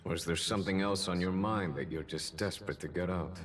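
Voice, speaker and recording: An actor voicing a calm, shrewd, courteous general with a measured, formal and lightly teasing manner in a video game. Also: male voice, low voice, faint music behind. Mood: composed, formal. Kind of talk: scripted video game drama.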